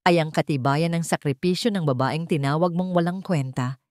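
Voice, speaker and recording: A woman's voice: low voice, normal speed, a little mellow, no echo, studio quality, close to an everyday voice. A woman voicing a betrayed, angry wealthy man in an audio drama, cold and accusing. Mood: suppressed anger. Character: betrayed wealthy adult son, angry and wounded.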